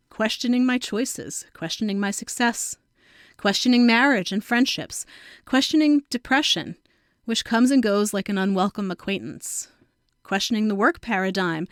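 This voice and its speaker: A woman's voice: medium pitch, no echo, studio quality. A woman of about forty reading her own scripted personal essay as a narrator. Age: around 40.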